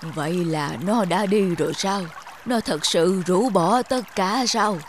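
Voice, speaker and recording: A stern old mountain. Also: male voice, high voice, takes it slowly, noisy background. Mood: worried. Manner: grumbling, commanding, authoritative. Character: stern, stubborn, protective old male mountain. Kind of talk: dubbed children's cartoon fable acting.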